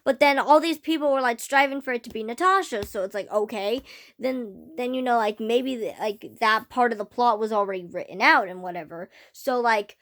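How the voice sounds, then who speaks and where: A teenage girl ranting casually about a film to camera, rambling with many fillers, her voice slightly hoarse. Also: on the high side, moderate pace, fairly bright tone. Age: teens. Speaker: vlogger. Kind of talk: casual fan rant to camera.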